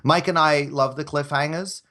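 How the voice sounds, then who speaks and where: A man's voice: a little high, steady tone, normal speed, pushed and bright. A man chatting casually as a guest on a live show, rambling and modest about his own work. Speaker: guest, audio drama maker.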